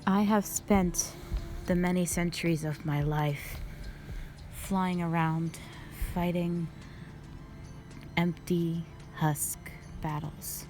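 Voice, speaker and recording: A woman playing a calm, stern, ancient female dragon guardian in a roleplay audio drama, speaking in a formal, measured, proud way. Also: fairly low pitch, faint music behind. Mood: solemn, reflective.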